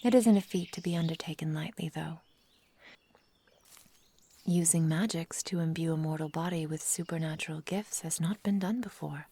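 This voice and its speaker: A woman playing an adoring, possessive immortal forest witch in an intimate ASMR roleplay, with soft whispery speech, tender endearments and formal storybook phrasing. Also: sits low, normal speed, slightly rough. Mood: devoted, resolute. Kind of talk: intimate ASMR roleplay, slow dramatic delivery.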